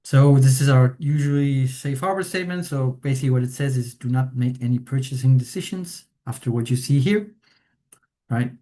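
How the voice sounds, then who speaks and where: Chilean-born man giving a software webinar. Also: mid-range voice, moderate pace. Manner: hesitant, many fillers, tag questions. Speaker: implementation consultant presenting a webinar. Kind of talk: presentation walkthrough.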